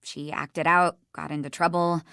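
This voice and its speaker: A woman's voice: low voice, level tone, normal speed, vocal fry, a bit bright, smooth, dry recording, clean studio sound. A woman voicing a caring young woman in a video game, sincere and a little guarded. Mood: subdued, regretful. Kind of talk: scripted video game dialogue.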